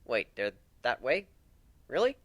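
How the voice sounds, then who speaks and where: A man's voice: mid-range voice, huge pitch swings, a little slow, fairly bright tone, no echo, heavily acted. A man voicing a wounded male griffin captain in a story, wry, plaintive and emphatic, blaming himself yet devoted. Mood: incredulous, wry.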